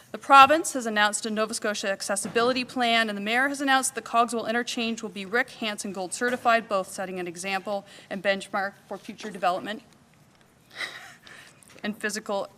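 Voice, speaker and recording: Lady hosting a civic event at a podium, bright tone, echoey big hall. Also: medium pitch, slight background noise. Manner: brisk, formal thank-you list, then welcoming speech. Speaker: event host. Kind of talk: conference opening remarks. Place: large conference hall. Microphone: podium microphone, at times off.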